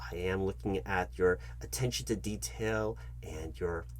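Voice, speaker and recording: A man performing a comedic job interview roleplay, speaking straight to the listener in a fussy, halting, repetitive manner. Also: sits low, slight background noise. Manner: fussy, halting, repeats himself, addresses listener directly. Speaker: ASMR channel host acting as job interviewer.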